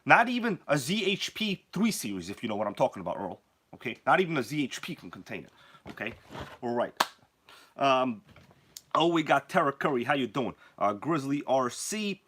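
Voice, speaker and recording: A man's voice: medium pitch, very animated, moderate pace, fairly bright tone, slight background noise. A man hosting a casual live stream while sick with a cold and nearly losing his voice, joking around and greeting viewers by name.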